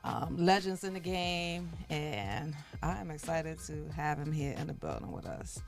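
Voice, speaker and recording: Chatty lower-voiced woman host in her forties. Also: expressive, unhurried, creaky, faint music behind. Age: 40s. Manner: chatty, plainspoken, slangy. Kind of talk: casual chat.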